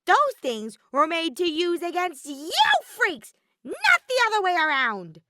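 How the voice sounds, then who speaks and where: A woman's voice: very high voice, very animated, a little slow, sharp tone, dry recording, pitched above the performer's own voice, heavily acted. A woman voicing a bratty, exasperated male robotic teddy bear villain in a fan fiction audio reading, theatrical and whiny. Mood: indignant complaining. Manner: theatrical, whiny, griping outbursts.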